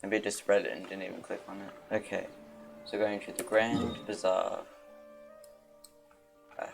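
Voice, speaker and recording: A woman streamer with a gravelly voice, casually muttering and scolding herself as she plays. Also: extremely low pitch, fairly even intonation, normal speed. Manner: muttering, self-deprecating. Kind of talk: casual gameplay commentary. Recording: loud game music behind.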